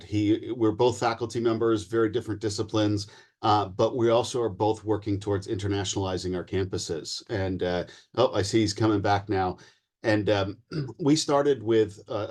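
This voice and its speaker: A male faculty member speaking casually at an online panel, often clearing his throat. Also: fairly low pitch, a little mellow. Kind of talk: virtual panel presentation.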